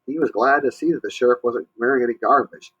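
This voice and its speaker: A man reading a story aloud to young listeners, pausing to explain and ask questions. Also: mid-range voice, a bit bright, slightly rough, dull audio. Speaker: storybook reader, teacher.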